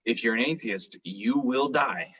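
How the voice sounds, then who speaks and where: A man's voice: medium pitch, a little slow, distant mic, a loud crowd. A man co-hosting a comedy podcast in casual banter, dry and sardonic, rambling as he goes. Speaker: podcast co-host. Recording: dull, lo-fi microphone sound.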